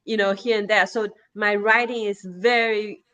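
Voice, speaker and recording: Bright-voiced woman with a Chinese accent. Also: mid-range voice, fairly even intonation, moderate pace. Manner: hesitant, many fillers and restarts. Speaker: guest poet. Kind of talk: conversational interview answer.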